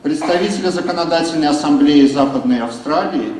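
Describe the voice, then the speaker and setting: A man's voice: fairly high pitch, quick pace, a little mellow. A man acting as master of ceremonies, introducing guests in formal, ceremonial phrasing. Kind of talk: formal event announcing. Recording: echoey hall, distant mic, crowd behind.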